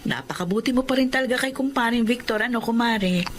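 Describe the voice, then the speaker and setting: A woman's voice: fairly high pitch, fast talker, a little hiss or hum, natural voice. An actress playing a friendly, talkative female neighbor in a radio drama. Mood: chatty. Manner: chatty, lively, exclamatory.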